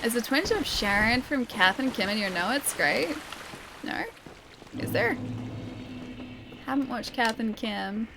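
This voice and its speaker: A woman's voice: on the high side, lively intonation, measured pace, vocal fry, pauses often, background noise. An Australian woman streaming a video game, chatting casually with her viewers, reading their messages aloud and laughing often. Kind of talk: casual live-stream gaming chat.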